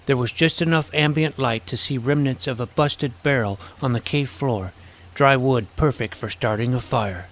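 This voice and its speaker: A man's voice: mid-range voice, moderate pace, close to an everyday voice. A man playing a naive, self-doubting, geeky boy who narrates his fantasy adventure in a wry, youthful, earnest way. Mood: wry storytelling. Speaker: narrator and lead character. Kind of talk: first-person scripted fantasy narration and dialogue. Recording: music behind the voice.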